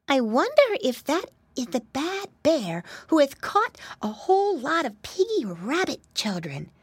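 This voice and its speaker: A woman voicing an excitable, polite little boy piglet in a storybook, eager and exclamatory. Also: sits high, a little slow, no echo, voice pushed up, heavily acted. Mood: wondering.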